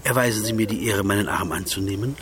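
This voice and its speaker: Man playing a low-voiced, flattering abbé. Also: quick pace, a little hiss or hum, natural voice. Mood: insinuating. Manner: insinuating, courtly. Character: smooth-tongued, flattering, scheming provincial abbé. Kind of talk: German radio drama acting.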